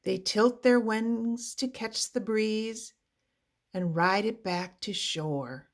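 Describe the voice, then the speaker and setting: A woman narrates a picture book for young children at a slow, unhurried pace. Her pitch sits in a middle range for a woman, and she phrases each sentence expressively and deliberately. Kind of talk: read-aloud children's storybook narration.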